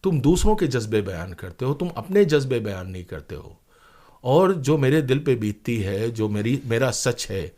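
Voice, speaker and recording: A man talks reflectively as an interview guest, at a middling pitch. He is earnest and emphatic, building his points through repeated phrases and rhetorical questions. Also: big pitch swings, normal speed, warm tone. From India. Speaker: interview guest, playwright and former radio broadcaster.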